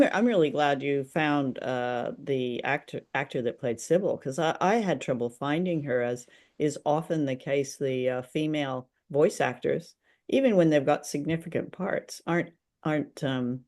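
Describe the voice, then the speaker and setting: A woman's voice: very deep voice, normal speed, vocal fry. A woman co-hosting a casual discussion, phrasing her thoughts hesitantly and reflectively. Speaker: podcast co-host.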